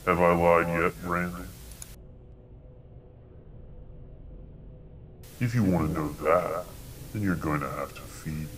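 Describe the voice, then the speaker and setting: A man playing a sinister, taunting entity that lives in television static, speaking in a calmly menacing, mocking manner in an analog horror drama. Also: sits low, normal speed. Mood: coldly persuasive. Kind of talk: analog horror scripted dialogue.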